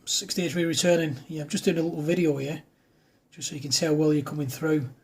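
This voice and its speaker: A man's voice: a little high, flat delivery, fast, darkish tone, slight background noise. A man talking informally and matily as an amateur radio operator, in casual back-and-forth chat. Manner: matey, informal.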